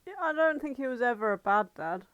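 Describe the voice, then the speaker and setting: A woman's voice: fairly high pitch, animated, a little fast, a bit bright, clean tone, no room sound, dull audio. A woman co-hosting a podcast in casual, unscripted chat, informal and rambling, with some swearing. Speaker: podcast co-host.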